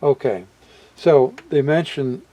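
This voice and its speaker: A man presenting a hobby video in a casual, unscripted way, halting as he muses aloud and often trailing off mid-thought. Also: medium pitch, mellow, poor audio. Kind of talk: casual unscripted product walkthrough.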